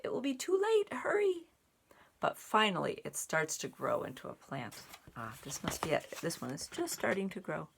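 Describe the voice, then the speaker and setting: A woman reading a children's picture book aloud in an expressive, deliberate way for young listeners, with little asides. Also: lowish voice, creaky, background noise. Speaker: storybook reader and sign language teacher.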